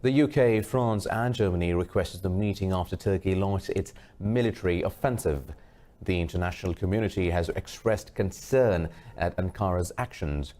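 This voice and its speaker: A man reading a scripted news bulletin as anchor, formal and measured. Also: low-pitched, warm tone.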